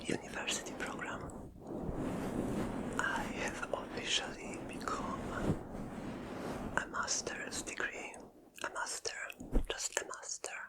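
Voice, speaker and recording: A woman's voice: slow, long pauses. A woman in her twenties, a native Polish speaker, whispering in a chatty, self-mocking ASMR ramble. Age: 20s. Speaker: ASMR creator.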